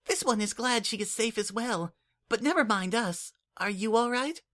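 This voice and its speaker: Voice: mid-range voice, lively intonation, moderate pace, fairly bright tone, pitched above the performer's own voice, lightly acted. A reader voicing a small young female changeling child who speaks formally, calling herself "this one", earnest and eager in an audiobook. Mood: concerned.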